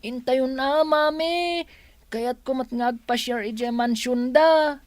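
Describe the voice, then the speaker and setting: A woman's voice: high voice, slow pace, no room sound. A woman playing a wide-eyed, eager young boy with a childlike way of talking in an audio drama. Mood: eager, wondering.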